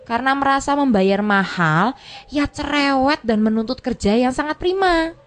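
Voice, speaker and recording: An actress playing an earnest, chatty former migrant worker in a radio drama, with an expressive, explanatory way of talking. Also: female voice, sits high, moderate pace. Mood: chatty, explaining.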